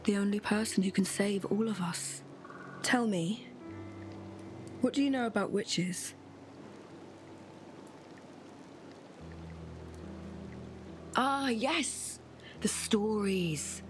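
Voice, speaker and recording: A woman's voice: mid-range voice, soft background music, lightly acted. Mysterious, solemn witch woman played by an actress. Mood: knowing. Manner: earnest, portentous, measured storytelling. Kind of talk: dramatic fantasy game acting.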